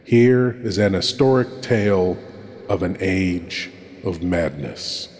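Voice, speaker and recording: Brief: male horror host, low voice, slow ominous theatrical intro. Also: darkish tone, big-room sound, slight background noise. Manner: slow, ominous, dramatic delivery.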